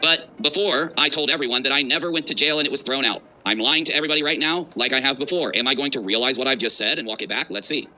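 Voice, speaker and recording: A man's voice: medium pitch, fast, hardly any pauses, bright, forceful tone, rough recording. A man hosting a livestream with mocking reaction commentary, sarcastic and emphatic in delivery.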